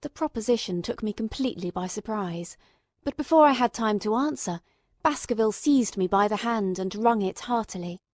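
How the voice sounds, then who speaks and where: A woman's voice: mid-range voice, animated, normal speed, a little mellow, no room sound, studio quality. A woman reading a classic detective story as a scripted audiobook narrator.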